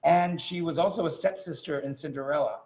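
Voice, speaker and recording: A man speaking as a theatre director and teacher, giving an informal, effusive and halting introduction to a performer over a video call. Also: on the high side, darkish tone. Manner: effusive, halting with repeated words. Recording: dull, lo-fi video call audio.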